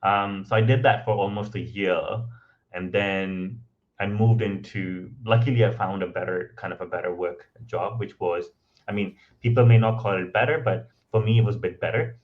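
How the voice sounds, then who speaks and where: A man speaking English as a second language, guesting on a podcast and telling his story in a relaxed, conversational way, with many ums and "right?" tags. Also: lowish voice, moderate pace, narrow, tinny audio. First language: not English. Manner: frequent ums and restarts, tag questions of "right?".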